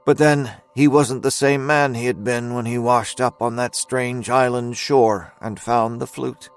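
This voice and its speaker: A man narrating scripted fiction as a storyteller in an audio drama. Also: mid-range voice. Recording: faint music under narration.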